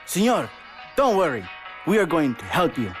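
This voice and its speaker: A caring, firm woman doctor with a Mexican accent. Her voice is fairly low and lively in pitch, a game character heard over loud music. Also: normal speed.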